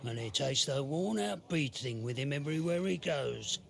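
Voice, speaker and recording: Radio drama role: cruel merchant slaver, gravelly, slow, crude of speech, with big swings in pitch. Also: male voice, animated, fairly bright tone. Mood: contemptuous, angry. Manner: rough colloquial speech, insults. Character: coarse, cruel slave-trading shopkeeper who fawns on nobility. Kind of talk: scripted radio drama acting.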